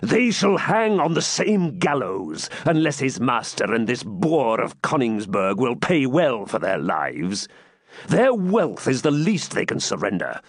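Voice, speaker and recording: A man reading a furious, cruel Norman baron, bellowing slow threats in a raised voice. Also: mid-range voice, very animated, dry recording, clean studio sound, pitched above the performer's own voice. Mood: enraged. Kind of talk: audiobook character reading.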